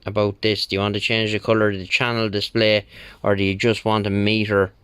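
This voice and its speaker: An Irish man talking casually through a repair job, thinking aloud in an unhurried, colloquial way. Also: fairly low pitch, clean tone, dry recording. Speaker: radio repair technician. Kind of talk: casual spoken bench walkthrough. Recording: steady background noise, bench clicks.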